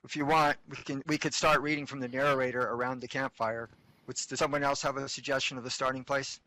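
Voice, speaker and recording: A man organizing a script read-through, explaining plans in a halting way as he thinks aloud. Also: mid-range voice, level tone, sharp tone, poor audio. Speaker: organizer of a script reading group. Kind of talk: casual group chat.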